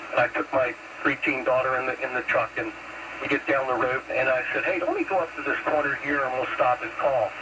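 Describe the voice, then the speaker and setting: A noisy, distant CB radio transmission carries the voice of a middle-aged American man. He talks in a casual, storytelling way at a medium pitch, like one operator chatting to another. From Pennsylvania, United States. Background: radio static.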